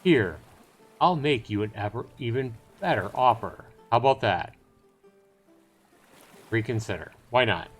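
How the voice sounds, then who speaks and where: A man's voice: medium pitch, expressive, a little slow, pauses often. A man voicing a salesman-like seafaring trader who tells sea yarns in a theatrical, cajoling way over music. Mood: cajoling, confident. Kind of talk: dramatic storytelling.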